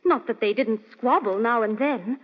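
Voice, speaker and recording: High-voiced, flustered young lady's companion played by an actress. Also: moderate pace, close to an everyday voice. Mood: earnest. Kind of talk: old-time radio mystery acting. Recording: dull, muffled old radio recording.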